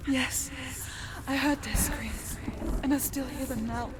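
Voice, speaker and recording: A high-voiced, haunted young warrior. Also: female voice, fairly even intonation, slow, background noise. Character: haunted young warrior woman, anguished and determined.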